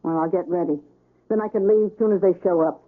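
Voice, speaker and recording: An actress playing a frank, curious, gossipy hospital nurse with an easygoing manner in a 1970s radio drama. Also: female voice, lowish voice, fast, natural voice. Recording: tinny old radio broadcast recording.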